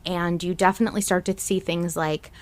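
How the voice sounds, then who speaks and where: A woman's voice: lowish voice, flat delivery, a little fast, a little mellow. A woman hosting a podcast, chatting casually and emphatically, with the odd swear word. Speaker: podcast host.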